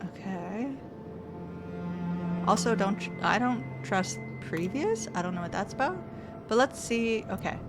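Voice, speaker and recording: A young woman talks through a puzzle game as she plays, musing to herself and reading passages aloud. Her pitch is mid-range and her tone conversational. Game music plays clearly under her. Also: expressive, measured pace, long pauses. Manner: thinks aloud, reads game text aloud. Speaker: streamer playing a game. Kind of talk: casual gameplay commentary.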